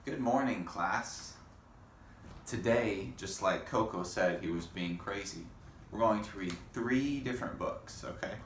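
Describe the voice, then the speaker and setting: A man teaching young children in a read-aloud lesson, speaking in simple, deliberate phrases and putting questions to them. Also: lowish voice, a bit bright. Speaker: elementary school teacher. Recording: echoey room with faint background noise.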